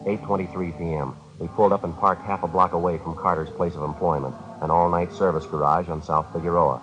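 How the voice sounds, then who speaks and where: Fast, low-voiced deadpan actor as police detective. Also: male voice, close to an everyday voice. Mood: matter-of-fact. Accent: American. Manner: clipped, deadpan. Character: terse, relentless plainclothes police detective, matter-of-fact. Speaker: actor narrating and playing a detective. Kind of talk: 1950s radio police drama. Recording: dull vintage radio broadcast with music.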